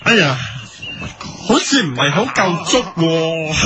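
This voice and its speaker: An elderly village man with a high, unhurried voice. He is cheerful with a wistful streak, rambling along with sighs and chuckles, as in a mock documentary on comedy radio. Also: big pitch swings, noisy background, exaggerated voice. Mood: wistful, chuckling. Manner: chuckling, sighing, rambling storyteller.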